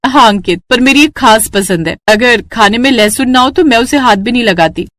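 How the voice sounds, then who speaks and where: A woman's voice: on the high side, moderate pace, a bit bright, no echo, close to an everyday voice. A woman voicing a stubborn, insistent young bride in a cartoon story. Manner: emphatic, insistent.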